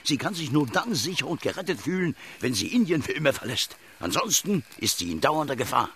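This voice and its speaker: An actor playing a gallant, worried English military gentleman in a radio drama, speaking formally and courteously. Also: a little high, very animated, a little fast, a little hiss or hum. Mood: admiring, concerned.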